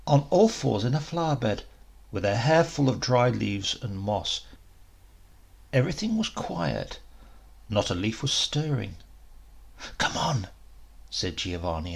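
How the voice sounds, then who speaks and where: Expressive male storyteller with a gravelly edge. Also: medium pitch. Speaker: story reader. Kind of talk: expressive literary story narration.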